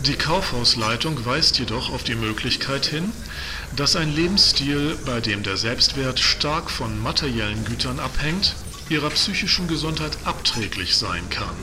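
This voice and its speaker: An actor playing a polite department store announcer in an audio drama, reading formally with deadpan seriousness. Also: male voice, mid-range voice, unhurried, lightly acted. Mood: deadpan courtesy. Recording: clear background noise and ambience.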